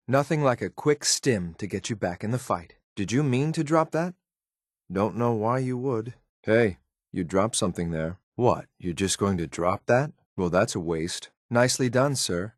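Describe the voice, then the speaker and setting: An actor playing a hard-edged, sardonic soldier companion in a video game, clipped and dry, loyal to his superior but quick to show disdain. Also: lowish voice, no room sound. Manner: clipped, dry sarcasm, addresses superior respectfully.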